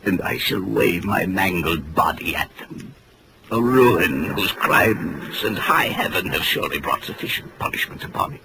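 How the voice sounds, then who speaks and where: Theatrical unhinged writer, low gravelly voice, florid speech. Vintage radio drama with heavy noise. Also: male voice, expressive, normal speed, pushed and bright, exaggerated voice. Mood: grandiose. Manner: florid, melodramatic. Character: theatrical, unhinged male author, a guilty culprit. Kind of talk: 1940s radio acting. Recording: noisy, crackly old radio broadcast.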